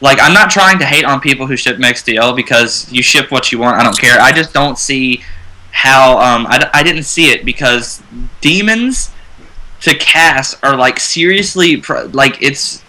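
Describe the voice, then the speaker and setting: A man's voice: medium pitch, normal speed, bright, forceful tone, rough recording. A man talking casually as a panelist on an online fan show, hesitant and correcting himself as he goes. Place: internet call.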